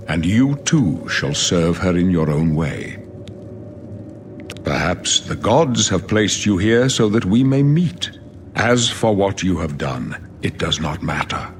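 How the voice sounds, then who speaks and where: A man's voice: deep voice, slight background noise. An older man playing a weary, solemn old emperor in a fantasy video game, speaking in a grave, prophetic manner. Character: weary, solemn old male emperor facing his death.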